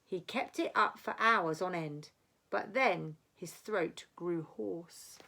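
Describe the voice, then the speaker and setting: A woman reading a rhyming children's story aloud, with dramatic, deliberate phrasing. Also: lowish voice, vocal fry, sharp tone.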